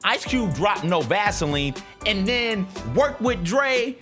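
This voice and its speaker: A man's voice: high voice, very animated, takes it slowly, over music. An American man co-hosting a talk show with casual, slangy commentary, emphatic and often repeating himself.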